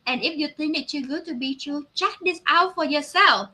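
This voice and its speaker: A woman hosting an online business pitch, speaking emphatically and repetitively in non-native grammar, like an enthusiastic testimonial. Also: moderate pace, pushed and bright. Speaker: host of online business promotion.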